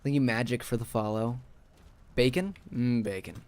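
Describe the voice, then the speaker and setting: A young man streaming a game, sleepy and a little raspy, rambling casually to chat as he plays. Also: mid-range voice, normal speed, darkish tone. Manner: rambling, talks to chat while playing.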